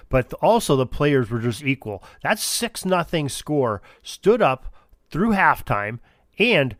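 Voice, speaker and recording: A male podcast host talks through sports history in a mid-pitched voice. He is casual, conversational and enthusiastic, recorded dry and close. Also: no echo.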